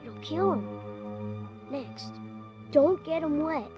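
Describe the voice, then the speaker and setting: High-pitched, street-smart young boy played by a woman. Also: animated, very slow, dull audio, exaggerated voice. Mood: urgent, serious. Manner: insistent, emphatic, casual slangy phrasing. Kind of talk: storybook audio dramatization. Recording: music under the dialogue.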